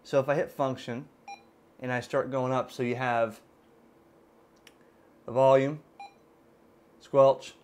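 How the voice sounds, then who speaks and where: A middle-aged man with an American accent and a mid-range voice talks through a device in an informal, unscripted way. He explains step by step, often halting to think aloud. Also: mid-range voice, smooth. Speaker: ham radio gadget reviewer. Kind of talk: casual unscripted product demonstration. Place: indoors at a desk in a room.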